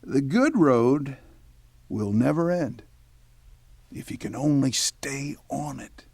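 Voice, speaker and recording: Medium-pitched man, folksy announcer, philosophizing serial narration, dry studio sound, faint music. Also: expressive, slow, gravelly, a little mellow, husky, no room sound, full character voice. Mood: wry, grand. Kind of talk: scripted narration.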